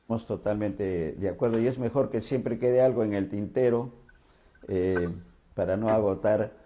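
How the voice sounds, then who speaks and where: A man's voice: on the low side, normal speed, dark tone, a little hiss or hum. A man hosting an interview, courteous, with frequent fillers and restarts. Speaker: talk show host.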